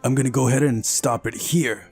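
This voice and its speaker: A man's voice: medium pitch, steady tone, fairly quick, darkish tone, faint music behind. A man hosting a visual novel playthrough, reading the narration aloud and adding casual commentary. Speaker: let's play host.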